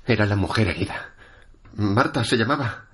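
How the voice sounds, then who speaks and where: A man's voice: lowish voice, expressive, normal speed, fairly bright tone. An actor playing a shaken, sombre male survivor recalling an attack in a radio drama. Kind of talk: radio drama, testimony narration.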